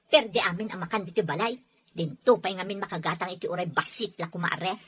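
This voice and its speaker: An actress playing a nagging, complaining wife in an Ilocano radio drama, with a Filipino accent. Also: female voice, lowish voice, a little fast, breathy edge. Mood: nagging, annoyed.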